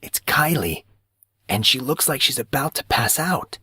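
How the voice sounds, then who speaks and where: A man voicing a calm, steady teenage boy who speaks quietly and directly. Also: medium pitch, a little slow, husky, no echo, studio quality, pitched above the performer's own voice, full character voice.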